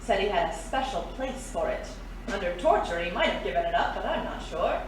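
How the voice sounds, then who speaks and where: Shrewd, world-weary lady crook for female actor, mid-pitched, matter-of-fact audio-drama delivery, roomy and off-mic. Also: animated, brisk, distant mic, close to an everyday voice. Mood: knowing. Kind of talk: pulp adventure audio drama acting. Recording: echoey, off-mic, faint noise.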